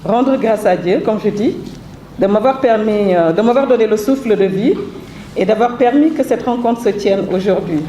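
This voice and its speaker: A woman with a slightly stuffy voice from a cold delivers a formal, measured thank-you speech, pausing on fillers. Her voice rings around a roomy event hall. A steady hiss of background noise runs under it. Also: medium pitch. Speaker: author presenting her book.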